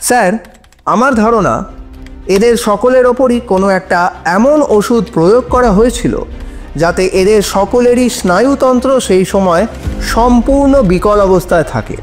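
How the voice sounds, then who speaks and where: A man playing an earnest advocate arguing deliberately and respectfully in court, in an audio drama. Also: sits high, close to an everyday voice. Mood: earnest, pleading. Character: Aniket, earnest male advocate arguing a trafficking case in court. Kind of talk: Bengali audio drama acting. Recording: dramatic music under the voice.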